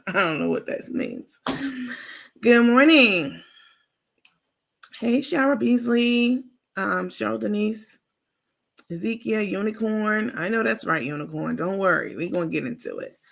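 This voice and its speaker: A woman with a raspy voice hosting a casual livestream, chatting informally with viewers and reading out their comments. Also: medium pitch, lively intonation, a little slow, pauses often. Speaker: livestream host.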